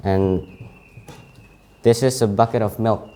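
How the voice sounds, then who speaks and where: Halting young Indonesian man, mellow voice. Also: fairly low pitch, steady tone. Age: late teens. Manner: halting, frequent self-corrections. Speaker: student presenting his CV. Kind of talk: informal presentation.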